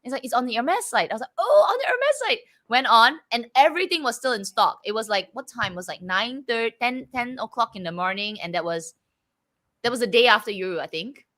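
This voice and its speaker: This is a young woman with a Malaysian English accent chatting casually as a co-host. Her voice sits a little high and sounds bright. Her pitch swings widely while she talks. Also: brisk. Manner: animated, laughs often, mimics accents. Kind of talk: casual chat.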